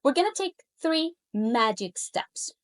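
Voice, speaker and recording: A woman's voice: expressive, a little slow. Upbeat Colombian woman coaching language. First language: Spanish. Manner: upbeat, persuasive, lifts her pitch on key points. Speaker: Spanish coach. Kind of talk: webinar pitch and personal story.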